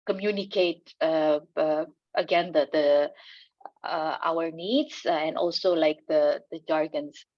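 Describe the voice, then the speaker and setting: Indonesian-accented woman panelist, low pitch, hesitant and full of fillers, dry and dull audio. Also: fairly even intonation, no room sound. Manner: halting, many fillers and restarts, light laughs. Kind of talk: conference panel answer.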